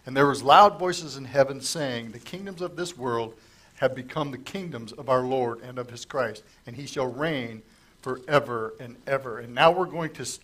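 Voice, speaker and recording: A man's voice: mid-range voice, a bit bright. A middle-aged man preaching a conversational Bible-study sermon in a church sanctuary, speaking at a lectern microphone while his voice is giving out. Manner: conversational, asks the congregation questions. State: losing his voice, coughing. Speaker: pastor. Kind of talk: sermon, verse-by-verse Bible teaching.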